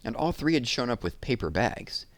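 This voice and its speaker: A man's voice: medium pitch, big pitch swings, moderate pace, dry recording. A man reading a children's novel aloud as a storytelling narrator.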